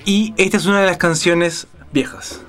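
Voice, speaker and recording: A man's voice: high-pitched, fairly even intonation, moderate pace, a bit bright. Rioplatense man talking music over a backing track. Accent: Rioplatense Spanish. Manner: conversational, digressive, thinking aloud as he explains. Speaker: radio music show presenter. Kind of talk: casual music commentary.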